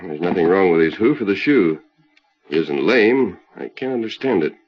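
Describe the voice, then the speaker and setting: A man's voice: sits low, lively intonation, slightly rough. An actor playing a heroic masked cowboy lawman, firm, earnest and commanding, in old-time radio western style. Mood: concerned, gentle. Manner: firm, earnest, measured delivery. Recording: muffled vintage radio recording.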